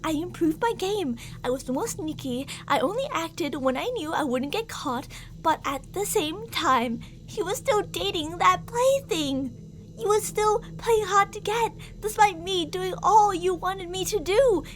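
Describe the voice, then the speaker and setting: A woman's voice: very high-pitched, lively intonation, a little slow, a bit bright, slight background noise, heavily acted, low-quality recording. A woman playing an obsessive, delusional stalker in an ASMR roleplay, theatrical and sweetly menacing, with jealous, volatile endearments and reproaches. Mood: frustrated, fervent.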